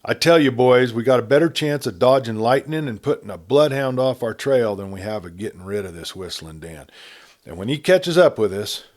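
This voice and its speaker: A man voicing a sarcastic, menacing outlaw gang leader in a Western story reading, talking in rough frontier speech. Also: medium pitch, lively intonation, normal speed, a little mellow, pitched above the performer's own voice, close to an everyday voice. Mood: commanding. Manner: taunting, commanding, rough frontier talk.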